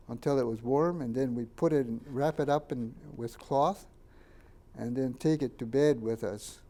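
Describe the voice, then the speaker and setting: An older man, a Japanese first-language speaker from Canada, recalls his childhood in a halting oral history interview and points out photos. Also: medium pitch, warm tone. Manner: halting, many fillers and restarts, pointing out photos.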